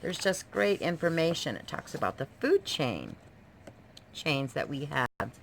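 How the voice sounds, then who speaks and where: A woman with a low voice talks through books in a casual show-and-tell. She is enthusiastic and explains things simply, as a homeschooling mom would. The home recording carries a faint layer of noise. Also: very animated, a little slow, gravelly. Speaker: homeschooling mother presenting books. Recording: noisy home video recording.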